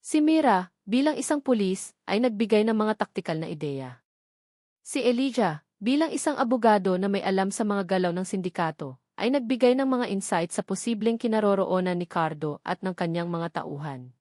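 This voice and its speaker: A woman's voice: mid-range voice, animated, normal speed, a little mellow, dry recording, studio quality. A woman reading scripted, dramatic narration of a love story as its storyteller.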